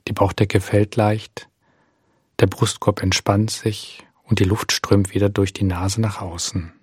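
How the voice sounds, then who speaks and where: A man leading a guided body scan meditation as an instructor, speaking calmly and gently with unhurried pauses. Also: lowish voice, breathy edge, no echo, clean studio sound.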